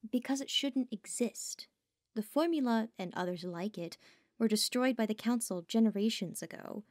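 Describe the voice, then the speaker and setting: A woman's voice: a little high, normal speed, a little mellow, no room sound, clean studio sound, close to an everyday voice. A woman playing a curious, bookish young researcher in an audio drama, speaking thoughtfully and conversationally. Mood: puzzled, uneasy. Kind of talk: audio roleplay drama acting.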